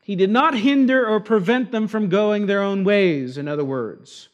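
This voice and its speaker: A man with Argentine roots preaching an expository sermon, slowing down to stress key words. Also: high voice, highs cut off. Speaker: pastor.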